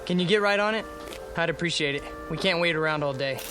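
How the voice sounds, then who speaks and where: A man's voice: fairly high pitch, fast talker, over music, natural voice, poor audio. A young man playing an easygoing, placating, slightly nervous newlywed husband in conversational radio drama dialogue. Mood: polite, uneasy. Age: young adult.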